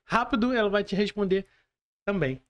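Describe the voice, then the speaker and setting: A man's voice: high-pitched, expressive, normal speed. A Brazilian man hosting a casual podcast interview, speaking informally with slang and effusive appeals to his audience. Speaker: podcast host.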